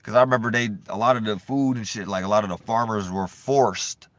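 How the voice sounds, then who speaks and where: A man vlogging in a loose, profane, rambling monologue, swinging between animated bursts and low mumbling. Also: a little fast, no echo. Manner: loose, profane and repetitive, full of "you know what I'm saying".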